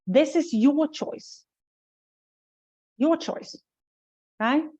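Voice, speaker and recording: A woman leads a business webinar as a coach. She speaks in a slightly high voice and stays emphatic, repeating her key points and checking in with a "right?". The sound is dull and lo-fi, like a webinar recording. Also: very slow, dark tone. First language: Swedish. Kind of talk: webinar teaching.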